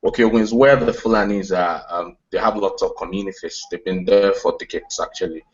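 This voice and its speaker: A man who founded a tech company, answering in a television interview, hesitant and full of "um" pauses. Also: low-pitched, steady tone, highs cut off. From Nigeria. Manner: hesitant, many "um" fillers, tentative phrasing.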